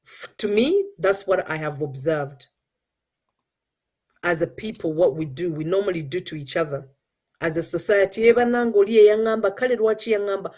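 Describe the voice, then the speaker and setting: Earnest Ugandan woman, husky and mellow. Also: medium pitch, moderate pace. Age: middle-aged. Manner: earnest, mixes English with Luganda. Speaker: show host. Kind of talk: motivational talk.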